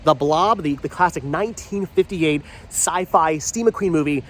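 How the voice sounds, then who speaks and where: A man's voice: a little high, normal speed. A man with a stuffy, congested voice from a cold, chatting enthusiastically as he walks outdoors on a rainy town street and films himself. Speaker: vlogger. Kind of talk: walking tour vlog. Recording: light street noise.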